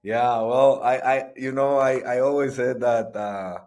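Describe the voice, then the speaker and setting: A middle-aged man from Guatemala, speaking as a podcast guest in halting, restarting sentences. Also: medium pitch, steady tone, a little mellow. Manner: halting, frequent restarts and repetitions. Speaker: guest, sports entrepreneur. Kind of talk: conversational interview.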